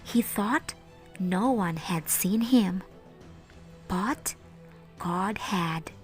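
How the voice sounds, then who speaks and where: Slow-paced woman storyteller for children. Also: medium pitch, soft background music. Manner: addresses children directly, deliberate phrasing. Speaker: teacher and storyteller host. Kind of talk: children's read-aloud Bible storytelling.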